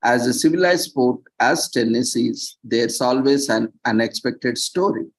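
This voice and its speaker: A man presenting, reading prepared text with off-the-cuff asides, halting and stumbling over words at times. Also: mid-range voice.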